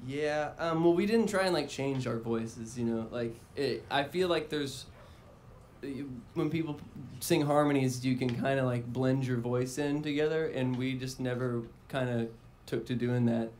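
Relaxed musician with a high-ish male voice. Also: moderate pace. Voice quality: fairly high voice for a man. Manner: relaxed, rambling with fillers. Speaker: band member guest. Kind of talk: casual interview chat. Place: outdoor festival stage. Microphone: stand mic on stage. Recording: outdoor live broadcast with faint noise.

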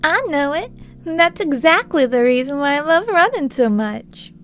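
A woman's voice: high-pitched, sing-song, moderate pace, fairly bright tone, faint music behind. A woman playing a playful, teasing young twin sister in a cartoon dub. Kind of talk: cartoon comic dub acting.

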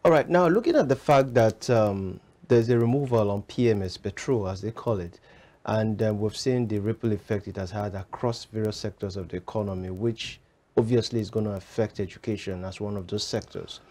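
A man co-hosts a studio discussion show, his voice low and mellow. He frames long, composed questions for his guest. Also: fairly quick. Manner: composed, framing questions at length. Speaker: TV show co-host. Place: TV studio set.

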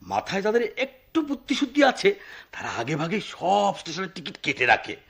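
An actor in a Bangladeshi radio drama playing a talkative, slyly satirical eccentric local at a railway station, rambling theatrically in a Bangladeshi accent. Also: male voice, high voice, wildly swooping pitch, fairly quick, vocal fry, fairly bright tone, slightly rough, heavily acted. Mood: mock-earnest. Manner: theatrical, rambling explanations with filler phrases. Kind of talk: Bengali radio drama acting.

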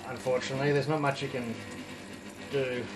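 A middle-aged man giving a casual hands-on home brewing demonstration, explaining in a relaxed way as he works in an indoor home brewery. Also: mid-range voice, fairly quick, music in the background, low-quality recording. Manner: relaxed, explanatory, thinking aloud while working.

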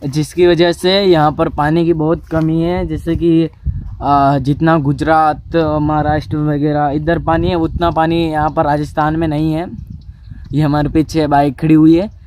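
A man's voice: fairly high pitch, flat delivery, a little slow, darkish tone, a little hiss or hum. A young man from Rajasthan, India, vlogging casually outdoors on his farm with a lapel mic, chatting in a friendly way and asking a child questions. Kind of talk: casual village vlog.

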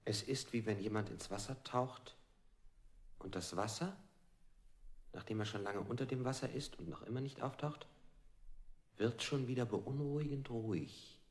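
An actor playing an evasive man under interrogation in a 1960s experimental radio play, giving deliberate, cryptic answers in a husky, gravelly voice. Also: mid-range voice, close to an everyday voice. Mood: musing. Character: interrogated man, evasive, rambling in surreal images.

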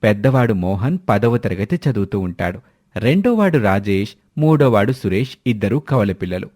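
A man's voice: on the low side, animated, moderate pace, darkish tone. A man narrating a scripted moral story as a storyteller.

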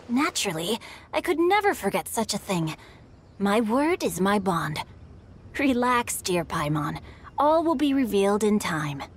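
A woman's voice: mid-range voice, big pitch swings, a little slow, a little hiss or hum. Woman as a theatrical, refined noble boy. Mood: smug, mysterious. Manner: flowery, teasing, refined. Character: bookish, theatrical, smooth-talking teenage noble boy. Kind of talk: anime game voice acting. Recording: faint music behind.

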